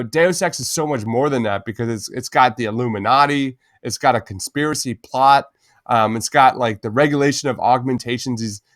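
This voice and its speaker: A man's voice: medium pitch, no room sound, clean studio sound. Enthusiastic man, casual podcast co-host. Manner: enthusiastic, uses "man" often.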